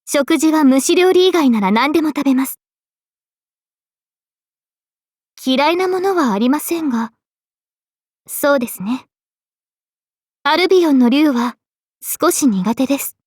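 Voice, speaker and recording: A woman voicing a gentle, dignified young female knight in a game, speaking politely and with composure. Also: sits high, dry recording, clean studio sound. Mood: polite, composed. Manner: polite, composed, formal speech. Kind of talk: scripted game character voice lines.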